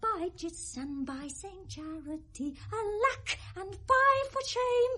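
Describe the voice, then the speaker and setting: A woman's voice: very high-pitched, slow, sharp tone, heavily acted. A woman playing a grief-maddened young noblewoman, distracted and drifting between speech and song, in a Shakespeare radio play. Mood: giddy singing. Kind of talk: 1970s radio Shakespeare acting, half sung.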